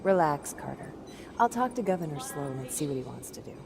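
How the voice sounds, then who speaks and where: An actress playing a calm, reassuring colony medic in a video game cutscene. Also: female voice, low-pitched, fairly quick, gravelly, heavy background noise, heavily acted. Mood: soothing. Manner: reassuring, steady. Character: calm, soothing female colony medic questioning patients.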